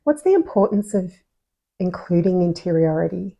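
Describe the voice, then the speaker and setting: A woman's voice: fairly low pitch, lively intonation, moderate pace, vocal fry, mellow. A woman hosting a podcast, asking reflective questions in an encouraging, conversational way. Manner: encouraging, reflective interviewer who asks questions. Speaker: podcast host. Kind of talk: casual interview questions.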